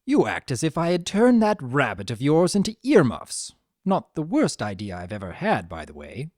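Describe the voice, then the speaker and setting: A woman's voice: extremely low pitch, huge pitch swings, normal speed, a little mellow, dry recording, studio quality, exaggerated voice. A woman voicing a sly male chimera trickster from a storybook, theatrical, drawling, sardonic and mock-innocent. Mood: exasperated, sardonic.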